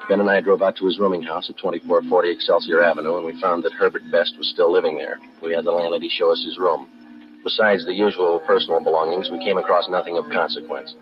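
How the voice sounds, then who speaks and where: A man playing a stoic American police detective, narrating in a clipped, deadpan way in 1950s radio drama style. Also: sits low, quick pace, lo-fi sound, lightly acted. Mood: businesslike. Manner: matter-of-fact, deadpan, clipped. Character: stoic, businesslike male police detective sergeant. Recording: vintage 1950s radio broadcast with music.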